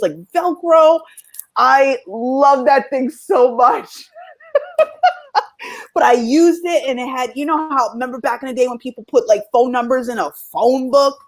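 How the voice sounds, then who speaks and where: A woman co-hosting a casual chat show, chatty and excitable, laughing often. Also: a little high. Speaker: podcast co-host.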